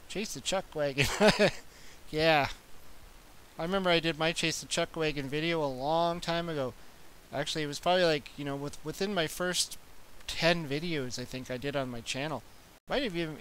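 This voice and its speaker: A man's voice: fairly high pitch, a bit bright, low-quality recording. Chatty Canadian man, hissy recording. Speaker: retro gaming channel host. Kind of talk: casual live-stream chat.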